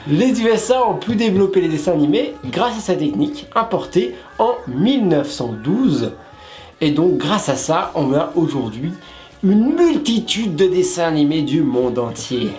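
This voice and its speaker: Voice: medium pitch, big pitch swings, normal speed, darkish tone, soft background music. A co-host of a web show explaining things casually, with playful asides to the viewers.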